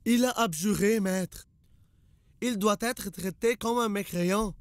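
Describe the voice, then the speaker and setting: A man's voice: high voice, measured pace, dry recording. A man voicing a hostile, zealous king's minister in a cartoon, speaking in an indignant, accusatory way. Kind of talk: cartoon dubbing.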